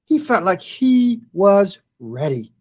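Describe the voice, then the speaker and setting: A woman reading a children's storybook aloud expressively and deliberately, pausing to chat to viewers. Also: medium pitch, big pitch swings, darkish tone, lo-fi sound.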